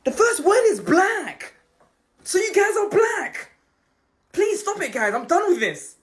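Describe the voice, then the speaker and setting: A man in an animated comic rant, his voice jumping up when he mocks others. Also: very high-pitched, measured pace. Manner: lively, voice jumps up when mocking others.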